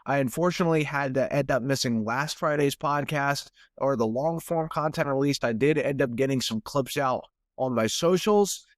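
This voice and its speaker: A man's voice: mid-range voice, moderate pace, few pauses, no echo, clean studio sound. A young man with a stuffy nose hosting a solo sports podcast in a casual, rambling way, often repeating words as he restarts. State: stuffy from sinuses. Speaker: podcast host.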